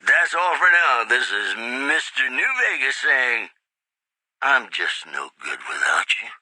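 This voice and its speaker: A silky radio crooner from a game's wasteland, bright and forward in tone and lively in pitch. He flatters his listeners with velvety charm, close to a dry studio mic. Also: slow, dry recording, heavily acted, poor audio. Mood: crooning. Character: smooth, flirtatious male radio DJ of the wasteland. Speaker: radio host and newsreader. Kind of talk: crooning radio announcer lines.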